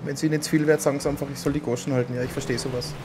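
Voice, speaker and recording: A man's voice: medium pitch, level tone, very fast. A man in his thirties with an Austrian accent, talking politely and chattily while roleplaying a prosecutor at a home streaming setup. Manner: polite, chatty, talks with a put-on Viennese lilt. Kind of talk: in-character roleplay chat. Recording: game car noise behind.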